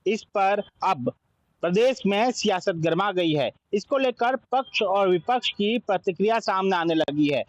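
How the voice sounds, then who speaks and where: A man's voice: sits high, lively intonation, a little slow, no room sound, low-quality recording. A man with an Indian accent reading scripted news narration as a reporter.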